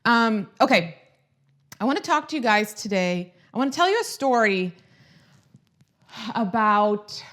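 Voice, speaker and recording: A woman's voice: fairly high pitch, animated, a little slow, long pauses, a bit bright. A woman in her thirties from Croatia, hosting a casual live-stream chat in a chatty, upbeat way, rambling with restarts and asides. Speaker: lifestyle video host.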